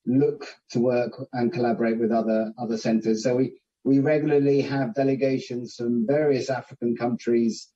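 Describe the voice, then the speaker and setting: Executive, forties, mellow voice, chatty and halting, off-mic webcam sound. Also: male voice, mid-range voice, fairly even intonation. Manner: chatty, heavy on "you know" and restarts. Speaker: financial centre executive on a panel. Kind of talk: panel discussion answer. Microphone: webcam on a video call. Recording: dull, distant video call audio.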